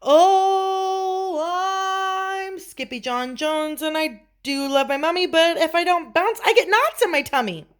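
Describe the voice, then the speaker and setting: A woman voicing an excitable little kitten boy with theatrical exclamations and a put-on Spanish accent, in a storybook. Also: extremely high pitch, slow pace, vocal fry, hardly any pauses, voice pushed up, full character voice. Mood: bouncy, gleeful.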